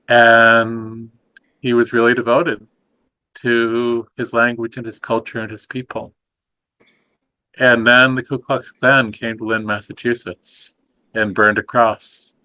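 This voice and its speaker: A middle-aged man speaking slowly and softly in a reflective, contemplative conversation, his voice trailing off at the ends of phrases. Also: lowish voice, clean tone, low-quality recording. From United States. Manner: slow, soft and contemplative, trailing off quietly. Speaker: guest teacher.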